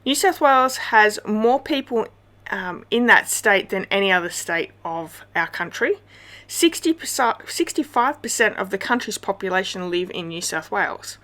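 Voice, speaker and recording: A middle-aged Australian woman talking through a papercraft project in a relaxed chatty way, with plenty of ums and small self-corrections. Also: medium pitch, moderate pace. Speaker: papercraft demonstrator. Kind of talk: casual craft tutorial chat.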